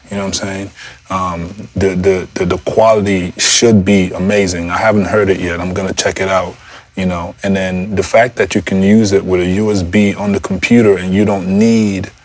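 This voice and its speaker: A man with a deep voice giving a loose, rambling gear review indoors on a lavalier mic, full of fillers and restarts. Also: slight background noise. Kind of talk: casual product review. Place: indoor room.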